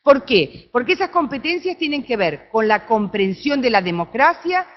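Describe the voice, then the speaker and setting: A woman with an Argentine accent giving an academic talk at a conference, often checking in with her audience through quick tag questions. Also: fairly high pitch, dull audio. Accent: Argentine Spanish. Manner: animated, with frequent tag questions. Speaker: conference speaker. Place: conference room.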